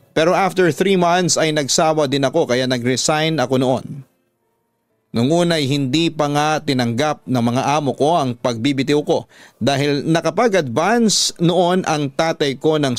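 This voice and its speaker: A man's voice: on the high side, no room sound. A man with a Filipino accent hosting and narrating dramatic stories as on a radio drama programme.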